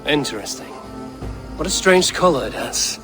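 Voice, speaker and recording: A man playing a calm Viking clan leader in a video game, speaking in curt, guarded questions. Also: a little high, moderate pace, music in the background, close to an everyday voice. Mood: guarded, curious. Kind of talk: video game character acting.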